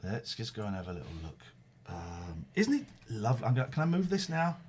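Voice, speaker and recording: A man's voice: fairly low pitch, very animated, fairly quick, darkish tone, breathy edge. A man hosting a casual online chat, talking in an effusive, chatty way with playful asides. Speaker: host.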